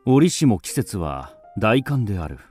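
A man's voice: lowish voice, big pitch swings, a little slow, a little mellow, faint music behind. An actor playing a vain, evasive young male student in a radio drama, theatrical and awkward. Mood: brooding, longing. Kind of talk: radio drama acting with inner monologue.

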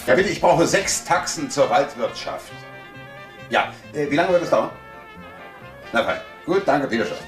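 An actor playing a protective, take-charge son in a German crime audio drama, urgent yet controlled. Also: male voice, on the high side, animated, unhurried, long pauses. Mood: dutiful. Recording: loud music and rain under dialogue.

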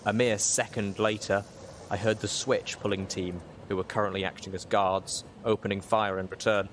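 A man with a fairly low voice narrates a story from a script in the first person. Clear noise sits behind his reading. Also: flat delivery, moderate pace. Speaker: audiobook reader, show host.

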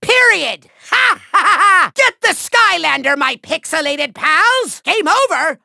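A man voicing a small, megalomaniac cartoon villain in a video game, with a shrill, gravelly, nasal voice and bombastic, gloating, theatrical delivery. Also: big pitch swings, pushed and bright, no echo, clean studio sound, exaggerated voice. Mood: taunting. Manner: bombastic, gloating, sneering delivery with drawn-out words.

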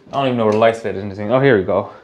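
A man's voice: on the low side, very fast, soft background music. A man gives a casual, upbeat house tour in bare indoor rooms, repeating his catchphrases. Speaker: realtor and house flipper. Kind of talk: walkthrough house tour vlog.

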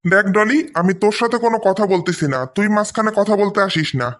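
A man's voice: high-pitched, fast talker, fairly bright tone. A man voicing a defiant, protective young brother in a cartoon story, argumentative and insistent, with a Bangladeshi accent. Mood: indignant. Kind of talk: amateur cartoon story dubbing.

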